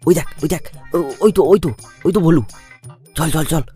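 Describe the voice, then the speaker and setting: A performer voicing an excitable, jeering young male sidekick in a comedy cartoon, slangy and exclamatory. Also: medium pitch, brisk, soft background music, lightly acted. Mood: urgent.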